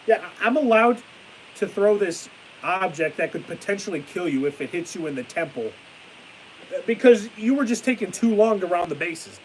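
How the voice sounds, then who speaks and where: A young man chatting on a live stream with a stuffy nose and a fading voice, ranting excitably and then trailing off into tired mumbles. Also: high-pitched, normal speed, rough recording. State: stuffy-nosed, losing his voice. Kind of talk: casual live stream chat. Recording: hissy home stream mic.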